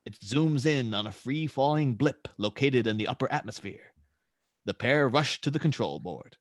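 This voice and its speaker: A man's voice: mid-range voice, expressive, normal speed, no room sound, studio quality. A man narrating scene descriptions and stage directions for a script table read.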